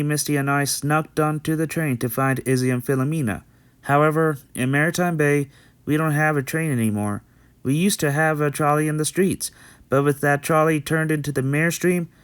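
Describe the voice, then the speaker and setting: A man's voice: a little high, steady tone, moderate pace, clear, resonant tone, no room sound, natural voice. A man playing a friendly, dutiful young male pony in an amateur comic dub, explaining things in an earnest, read-out way.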